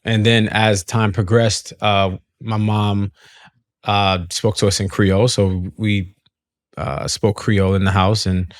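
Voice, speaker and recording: A man speaking in a relaxed, halting way as a singer being interviewed. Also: lowish voice, no echo, clean studio sound. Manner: relaxed, hesitant, laughs often. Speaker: guest singer. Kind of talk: conversational interview.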